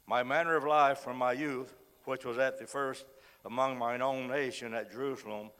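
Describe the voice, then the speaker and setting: A man with a cold, hoarse as he preaches a sermon, reads scripture and says frequent amens. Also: medium pitch, steady tone, moderate pace, fairly bright tone. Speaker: guest preacher. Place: church sanctuary. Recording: long reverb of a church hall.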